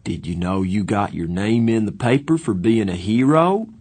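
A man's voice: lowish voice, a little slow, dark tone. A man voicing an easygoing, cheerful teenage greaser in an audiobook, with casual, folksy slang.

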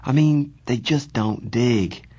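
A man's voice: medium pitch, takes it slowly, a little mellow, breathy edge. A man voicing a sensitive, bookish teenage boy in a story, speaking in a reflective, informal way. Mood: confiding.

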